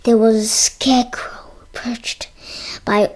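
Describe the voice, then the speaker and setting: A female reader narrating a children's storybook aloud, haltingly, stumbling over words and sounding them out. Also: on the high side, level tone, in a whisper.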